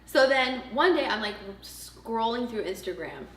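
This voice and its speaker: A young adult woman from Montreal whose first language is French, a choreographer, telling a story in a relaxed, wry way. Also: a little high, a little slow. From Montreal, Canada. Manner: relaxed, wry, laughing along. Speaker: professional choreographer. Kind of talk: casual storytelling. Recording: roomy, distant mic with faint noise.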